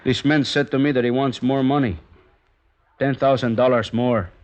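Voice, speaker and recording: An actor playing a cold, cunning Mexican go-between in a 1950s radio drama, formal, measured and evasive. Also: male voice, mid-range voice, steady tone, natural voice. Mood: calm, insistent. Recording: dull vintage radio recording.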